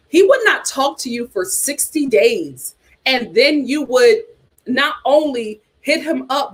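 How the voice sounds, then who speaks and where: A woman hosting a casual chat, giving sassy, animated commentary with slang and answering live chat comments. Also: fairly high pitch. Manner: uses casual slang like "child" and "y'all". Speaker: host.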